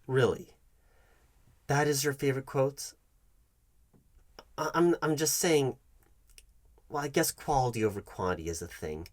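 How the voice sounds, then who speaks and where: A man's voice: medium pitch, fairly bright tone, no echo. A man playing a pompous, bumbling job interviewer in a comedic roleplay, condescending and fussy, stammering and correcting himself. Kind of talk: comedic ASMR roleplay addressed to the listener.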